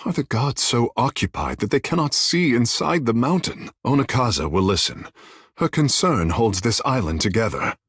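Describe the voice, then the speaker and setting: Husky, theatrical young island demigod. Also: male voice, mid-range voice, expressive, moderate pace, fairly bright tone, dry recording, studio quality. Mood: solemn. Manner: theatrical, haughty, playful, with a habitual verbal tag. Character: vain, devout young demigod water shaper of an island tribe. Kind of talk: video game character dialogue.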